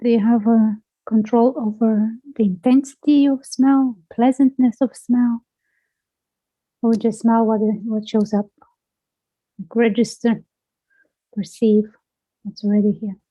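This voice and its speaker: Higher-voiced woman gently probing with questions. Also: fairly even intonation, a little slow, pauses often, no room sound, muffled recording. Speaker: self-inquiry guide. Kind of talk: guided inquiry conversation.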